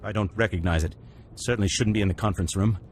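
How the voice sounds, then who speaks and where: A man playing a businesslike, deferential henchman scientist, brisk and matter-of-fact in a scripted game cutscene. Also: lowish voice, soft background music, close to an everyday voice. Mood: wary.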